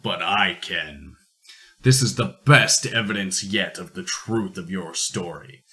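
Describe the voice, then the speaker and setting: A man playing a rich, sardonic industrialist in a radio play, measured, formal and a little pompous. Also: low-pitched, pushed and bright, faint music behind, exaggerated voice. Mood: assured, sardonic. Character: rich, worldly, sardonic industrialist, booming and indulgent. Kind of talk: amateur radio play acting.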